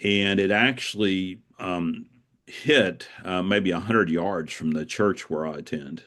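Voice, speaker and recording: A middle-aged man speaking casually as an interview guest, explaining things in a halting way and repeating words. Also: deep voice, fairly bright tone. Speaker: guest, author.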